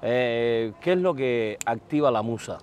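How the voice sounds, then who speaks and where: A middle-aged man with a Cuban Spanish accent hosts a casual interview and sprinkles English into his questions. He sits outdoors on a boat at a marina, and his voice sits at an ordinary middle pitch. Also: expressive, a little slow, a little mellow, slight background noise. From Cuba. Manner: friendly, drops English phrases into Spanish. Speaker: interview host.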